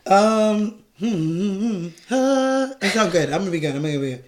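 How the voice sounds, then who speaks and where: A woman co-hosting a casual podcast chat over drinks, with a deep voice, talking loosely and jokingly and trailing off mid-thought. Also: expressive, moderate pace. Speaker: podcast co-host.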